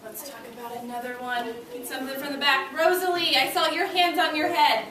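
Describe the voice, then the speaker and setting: A woman's voice: high-pitched, steady tone, brisk, a crowd in the background. A woman teaching young children in an interactive read-aloud lesson, addressing them directly with short prompts and questions. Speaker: elementary school teacher. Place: classroom. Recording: roomy, distant mic with background noise.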